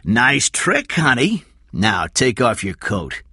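A man's voice: medium pitch, wildly swooping pitch, slow, sharp tone, slightly rough, dry recording, clean studio sound, pitched above the performer's own voice, heavily acted. A man voicing a menacing male kidnapper with a sneering, bullying manner. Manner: sneering, threatening, mock-sweet taunts.

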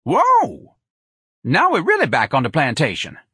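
A man voicing a sly, put-upon young bar porter in an audiobook, grumbling and defiant with drawn-out exclamations. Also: fairly high pitch, wildly swooping pitch, pushed and bright, breathy edge, dry recording, clean studio sound, voice pushed up, heavily acted. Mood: mocking.

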